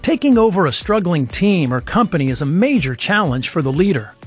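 A man's voice: sits high, very animated, fairly quick, slightly rough, faint music behind. A man presenting a short scripted business spot. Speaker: podcast host. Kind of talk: scripted radio promo.

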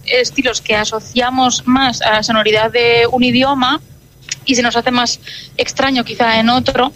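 A Basque-native woman, a jazz singer, answering interview questions in a relaxed, thoughtful way with many filler words. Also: a little high, a little hiss or hum, poor audio.

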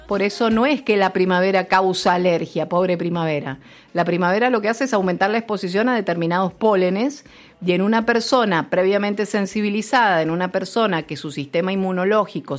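A middle-aged woman from Mendoza, explaining health advice on the radio in a didactic way, often restarting and repeating words. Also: medium pitch. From Mendoza, Argentina.

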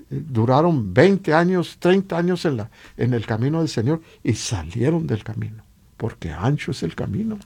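A man with a Latin American Spanish accent and a husky voice, preaching informally and emphatically with repeated rhetorical questions. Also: mid-range voice, darkish tone. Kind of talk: informal exhortation sermon.

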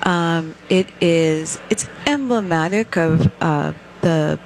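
Interviewer, woman, lower-pitched, encouraging, keeps affirming the guest. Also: slow pace, a little hiss or hum, poor audio. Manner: warm affirming, frequent encouraging backchannels. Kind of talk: conversational interview.

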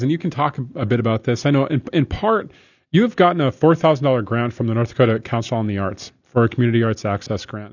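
A man's voice: mid-range voice, slightly rough. A man in his forties filling in as a radio host, speaking in a casual, chatty style. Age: 40s. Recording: muffled radio broadcast audio.

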